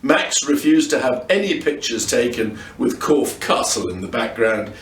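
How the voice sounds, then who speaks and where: Male storyteller, animated and fairly high in pitch, expressive children's narration, recorded roomy and distant. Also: moderate pace, a little hiss or hum. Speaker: storyteller reading aloud. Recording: roomy echo, distant microphone.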